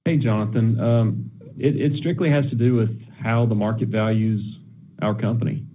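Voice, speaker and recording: A man answering as a company executive on an earnings call in a measured, matter-of-fact way. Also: fairly low pitch, steady tone, slight background noise. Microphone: telephone conference line. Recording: narrow, tinny conference phone line.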